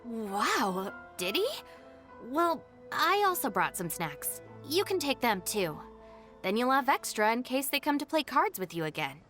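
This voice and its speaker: Composed, polite young woman, lively intonation. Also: on the high side, faint music behind. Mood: kind. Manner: courteous, measured, skeptical. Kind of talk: video game voice acting.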